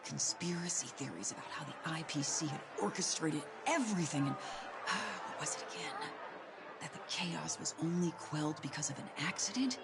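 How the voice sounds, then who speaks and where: A man's voice: fairly high pitch, moderate pace, heavy background noise. An actor playing a curious, polite junior patrolman in a video game. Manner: inquisitive, polite. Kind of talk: video game dialogue acting. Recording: voice over game music. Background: clear background music.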